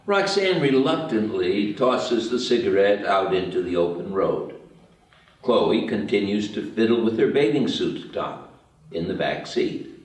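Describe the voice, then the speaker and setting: Slow man narrating in very echoey room. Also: fairly low pitch, very animated. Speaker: narrator of screenplay table read. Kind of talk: reading stage directions. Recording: very echoey room, distant mic.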